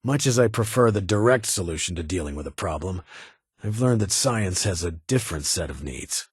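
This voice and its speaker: An actor playing a stern, guarded vault security chief in a video game, speaking in formal, clipped, measured phrases with a husky voice. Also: male voice, low-pitched, lively intonation, no room sound, clean studio sound, heavily acted. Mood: dry, measured. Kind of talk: scripted game character dialogue.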